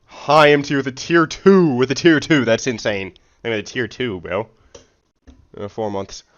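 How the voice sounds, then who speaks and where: A man's voice: medium pitch, normal speed. A man livestreaming, reading formal text aloud and slipping into slangy, casual speech, stumbling over words and correcting himself, on slightly dull audio. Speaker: live streamer.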